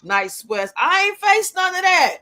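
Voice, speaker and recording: A woman's voice: very high voice, sing-song, measured pace, bright, forceful tone. A woman of fifty-nine hosting a casual livestream, chatting with viewers in a blunt, outspoken, colloquial way. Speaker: livestream host.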